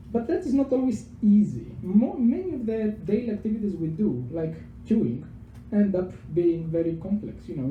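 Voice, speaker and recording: A man's voice: high-pitched, dull audio, a little hiss or hum. A young man from Greece with a Greek accent, giving a casual public science talk to a live audience in a pub. He is chatty and self-deprecating, using lots of fillers and repeated words. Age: young adult. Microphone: handheld microphone. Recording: echoey venue with faint background ambience.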